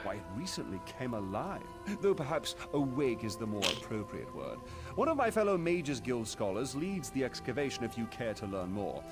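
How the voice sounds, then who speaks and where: An excitable scholar of magic from a fantasy game, acted by a man. He talks in formal, wordy sentences with very wide swings of pitch. His manner is theatrical and eager, with music playing behind him. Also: normal speed, lightly acted. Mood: musing. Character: excitable male mage scholar, eager and chatty. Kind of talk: video game character acting.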